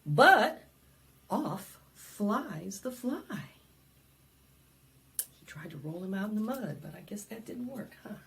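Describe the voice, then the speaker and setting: Female children's storytime host, unhurried pace, very lively pitch, sing-song delivery. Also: gravelly, a little hiss or hum. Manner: sing-song, dramatic, asks children questions. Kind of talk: animated preschool read-aloud with singing.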